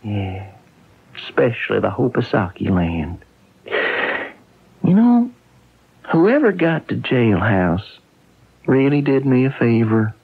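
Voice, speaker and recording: An actor playing a simple-hearted, dejected rustic husband in a 1970s radio drama, with a folksy, emphatic manner. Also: male voice, mid-range voice, very animated, slow, gravelly, pauses often, breathy edge, heavily acted. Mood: wistful. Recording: narrow-band vintage radio recording.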